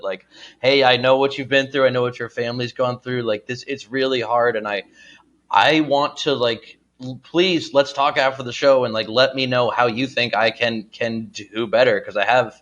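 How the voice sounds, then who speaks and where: Man with a pronounced stutter, bright voice. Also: medium pitch, muffled recording. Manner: halting, repeats sounds and words, chuckles while talking. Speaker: guest stand-up comedian. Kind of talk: casual comic storytelling on a podcast.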